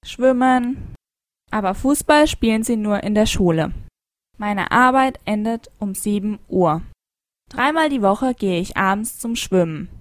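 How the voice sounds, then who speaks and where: A young adult woman, an au pair, reading a short scripted account of her day in a clear, even way. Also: fairly high pitch, dry recording, clean studio sound. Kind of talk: scripted monologue for a listening exercise.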